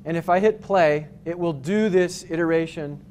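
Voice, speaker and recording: A man from New England giving a conference talk with slides, explaining step by step with frequent pauses and restarts. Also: fairly high pitch, darkish tone, a little hiss or hum. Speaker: conference speaker. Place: hotel conference room with projector screen.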